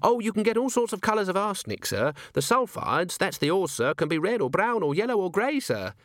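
A man's voice: high-pitched, big pitch swings, a little fast, a bit bright, no room sound, clean studio sound, voice pushed up. A man voicing an eager, respectful female dwarf alchemist in an audiobook, deferential and chirpy.

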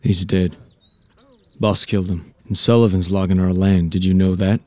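An actor playing a grim, determined young logger in a film drama, speaking tersely and bluntly. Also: male voice, low voice, little pitch movement, normal speed, warm tone, dry recording, close to an everyday voice. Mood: grim, bitter. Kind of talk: voice acting in a storyboard film.

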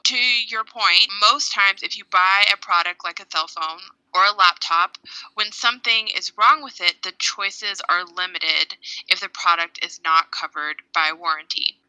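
A young woman from Hawaii co-hosting a podcast, clearly explaining things step by step. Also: on the low side, measured pace, bright, forceful tone, dry recording, poor audio. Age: young adult. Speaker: podcast co-host.

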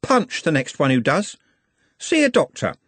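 A man voicing a cocky young male street lad who talks in a high, boastful rush. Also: sing-song, unhurried, dry recording.

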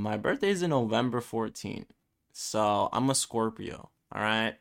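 A man in his twenties with a fairly low voice rambles through a solo podcast episode, circling back and restarting his thoughts. He grew up speaking Spanish first. His tone stays casual and self-deprecating. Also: creaky. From Brooklyn, New York. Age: 20s. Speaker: podcast host.

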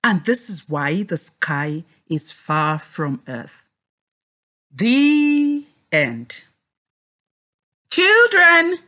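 A woman teaching a read-aloud lesson to children in an expressive, encouraging, deliberate way. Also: on the high side.

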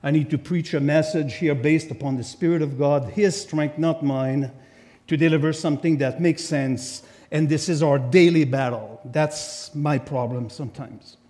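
A man from Quebec with a French Canadian accent, preaching a sermon as a guest speaker in a church, with lively asides to the congregation. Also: on the high side, normal speed. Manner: animated, chatty asides to the congregation. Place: church sanctuary. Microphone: lectern microphone.

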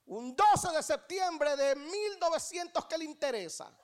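Woman, thirties, Guatemalan accent, very high-pitched, sermon delivery, emphatic, repetitive phrasing, rhetorical questions. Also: animated, unhurried. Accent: Guatemalan Spanish. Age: 30s. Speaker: preacher. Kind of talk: church sermon.

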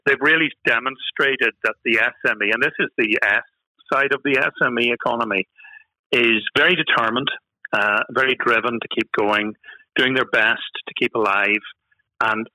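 A man's voice: mid-range voice, fairly even intonation, normal speed, pushed and bright, dry recording, low-quality recording. A middle-aged Northern Irish man, a guest on a podcast, talking with many fillers and restarts. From Northern Ireland. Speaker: guest, marketing consultant. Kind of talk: podcast interview.

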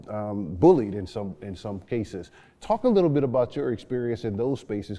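A man's voice: fairly low pitch, dark tone. A man in reflective conversation as a co-host, thinking aloud and often repeating words as he goes. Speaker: podcast co-host. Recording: long echoing reverb.

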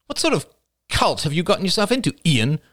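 Man acting as young female reporter, anxious, defiant, higher voice, swooping intonation, audiobook drama. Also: lively intonation, measured pace, darkish tone, breathy edge, no echo, studio quality, full character voice. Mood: indignant, defiant.

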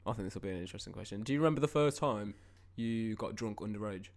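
Rambling, lowish-voiced young man. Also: fast talker. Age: 20s. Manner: informal, rambling, reflective. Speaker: podcast co-host. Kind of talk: casual chat.

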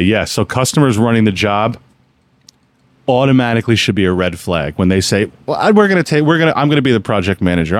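A man's voice: on the low side, dry recording. A man in his forties, a contractor hosting a podcast, chatting casually and laughing often. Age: 40s. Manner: laughs often, animated, teasing.

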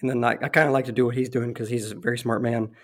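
Brief: male podcast host, hoarse and fading voice, rambling friendly interviewer, mid pitch. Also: little pitch movement, racing. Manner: rambling, friendly, throat-clearing. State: losing his voice. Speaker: podcast host, real estate investor. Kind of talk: casual interview conversation.

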